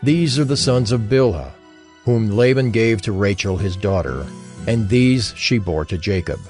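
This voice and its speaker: Low, slow male Bible narrator. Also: darkish tone, soft background music. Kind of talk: dramatized scripture reading.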